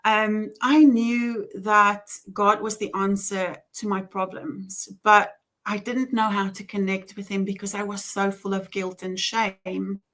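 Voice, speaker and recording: A woman's voice: mid-range voice, level tone, measured pace, a bit bright. A woman with an Afrikaans accent, a native Afrikaans speaker from South Africa, telling her faith story in an earnest, reflective way with many ums and small laughs. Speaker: interview guest. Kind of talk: personal faith testimony.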